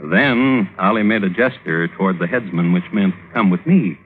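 An actor playing a wry storyteller, narrating in a measured, conversational vintage radio style. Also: male voice, low-pitched, natural voice. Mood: wry storytelling. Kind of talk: 1950s radio scripted narration. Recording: muffled, noisy old radio recording.

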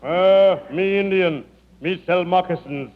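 Slow, halting deadpan moccasin seller. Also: male voice, sits high. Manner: slow, halting, clipped broken English. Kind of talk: 1940s radio comedy acting. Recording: dull old radio recording, long hall reverb.

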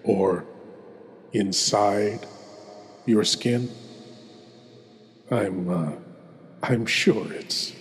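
A man playing a sinister, drily mocking horror host, speaking ominously with deliberate pauses. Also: on the low side. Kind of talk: theatrical horror anthology hosting. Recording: long cavernous reverb, music behind.

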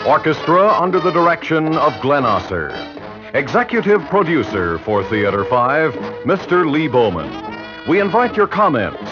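Lively male old-time radio announcer. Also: a little high, normal speed. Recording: dull old radio recording. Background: orchestral music.

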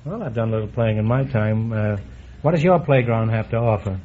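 A middle-aged man hosting a quiz show, teasing his guests with quick puns and asides before a live audience. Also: lowish voice, background noise. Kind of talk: wisecracking quiz show banter. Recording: dull old radio broadcast, audience behind.

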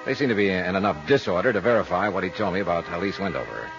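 An actor playing a wry, hard-boiled insurance investigator, narrating and talking in a clipped, dry way in a 1950s radio drama. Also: male voice, sits low, expressive, fast talker, a bit bright, muffled recording. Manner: clipped, terse, dry delivery. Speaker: detective narrator and lead character. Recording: vintage 1950s radio recording with music.

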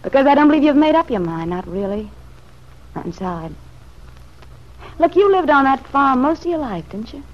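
A woman's voice: sits high, very animated, moderate pace, long pauses. An actress in an old radio drama playing a world-weary, slangy, street-wise woman who speaks with raw emotion. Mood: earnest. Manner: slangy, emotional, hushed then flaring. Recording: dull, noisy vintage radio recording.

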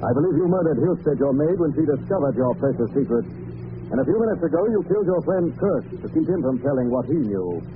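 Actor as a deliberate, mocking hero of the shadows; lively inflection, tinny old radio sound. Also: male voice, fairly high pitch, lightly acted. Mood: mocking menace. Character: mocking shadowy male crime-fighter with a sinister laugh. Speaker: hero detective. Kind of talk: 1940s radio acting. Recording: thin, noisy old radio broadcast.

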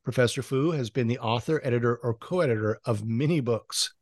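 A man hosting a podcast interview, introducing and questioning his guest with hesitant pauses between phrases. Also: mid-range voice, darkish tone, no room sound, studio quality.

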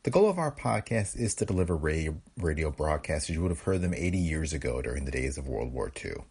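Very deep, gravelly male podcast host. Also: sing-song, fairly quick, a little mellow. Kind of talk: scripted narration.

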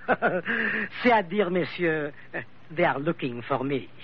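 An actor in an old radio drama playing a suave, suspicious French investigator with a French accent and formal, hesitant, courteous phrasing. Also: male voice, on the high side, animated, takes it slowly, heavy background noise. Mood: smooth, sly. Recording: dull vintage radio recording.

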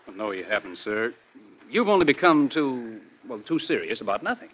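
An actor playing an outspoken, earnest young gentleman, a president's son, in an old-time radio drama. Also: medium pitch, big pitch swings, normal speed. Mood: earnest, conciliatory. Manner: earnest, forthright, formally polite. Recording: muffled vintage radio recording.

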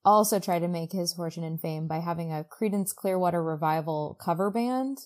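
A woman's voice: lowish voice, a little fast. A woman co-hosting a podcast, recapping a book in casual, exclamatory chat peppered with "like". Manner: animated, exclamatory, heavy use of "like". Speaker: podcast co-host.

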